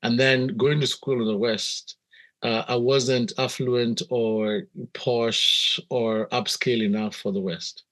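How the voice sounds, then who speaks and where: A middle-aged man with a Kenyan accent, telling personal stories in a reflective, measured, conversational way as an interview guest. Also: mid-range voice, fairly even intonation, a bit bright. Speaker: podcast guest, missionary pastor.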